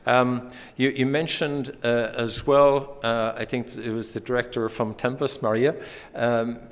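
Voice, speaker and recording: A man from Ireland with an Irish accent, giving a conference presentation with many pauses and restarts. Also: medium pitch, flat delivery. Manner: hesitant, many ums and restarts. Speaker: conference speaker. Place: conference hall. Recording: hall reverb.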